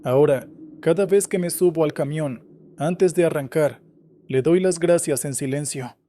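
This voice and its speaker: A man reading scripted first-person horror stories in a steady, measured way. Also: a little high, slight background noise. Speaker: horror story narrator.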